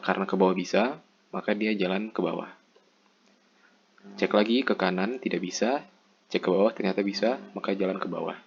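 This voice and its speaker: A young man with a fairly low voice explains material step by step as a student presenter. His manner is informal and repetitive. The recording is dull and lo-fi, with faint noise behind him. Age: young adult. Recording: muffled lo-fi mic with background noise.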